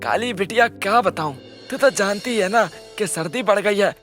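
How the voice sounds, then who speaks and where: Woman acting a gentle ageing village man. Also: mid-range voice, lively intonation, normal speed, faint music behind, natural voice. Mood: friendly, concerned. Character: gentle ageing village husband and father-in-law. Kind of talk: cartoon dialogue.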